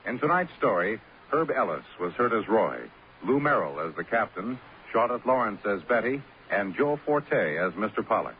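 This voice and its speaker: A man's voice: fairly low pitch, big pitch swings, moderate pace. A man announcing credits as a 1950s radio announcer, in a formal scripted style. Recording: tinny, hissy old radio recording.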